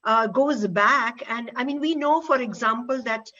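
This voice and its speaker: A woman with an Indian accent moderating a panel in an unscripted, halting way, repeating words as she goes. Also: on the high side, pushed and bright, dull audio, slight background noise.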